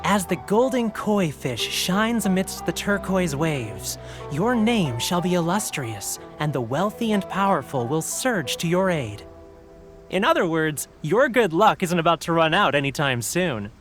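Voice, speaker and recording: A man's voice: on the high side, very animated, unhurried. A man voicing a cheerful middle-aged fortune stall keeper with a jovial, showman-like manner, in a game cutscene. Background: faint game music.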